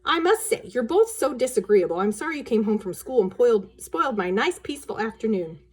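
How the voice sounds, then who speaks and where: A proper, scolding mother at the end of her patience in a storybook, as a woman reader puts her on. Her voice is fairly high and a little husky, with very animated intonation. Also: fairly quick. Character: stern, exasperated mother of two children. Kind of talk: children's storybook character voice.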